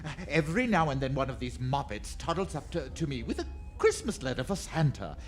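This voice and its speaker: A man playing an excitable, kindly department store Jack Frost in a radio drama, theatrical and fussy. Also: mid-range voice, a little fast, a little hiss or hum, close to an everyday voice. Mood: nervous, dramatic. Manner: theatrical, fussy, stammering when nervous.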